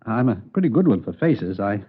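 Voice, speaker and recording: An actor playing an amiable, rambling American hobby-shop manager with a hesitant, folksy way of talking in an old radio drama. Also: male voice, fairly low pitch, very animated, fairly quick, breathy edge. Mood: helpful, hesitant. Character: amiable, unhurried male hobby-shop manager, absorbed in trains. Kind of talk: 1950s radio drama character acting. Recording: tinny narrow vintage radio recording.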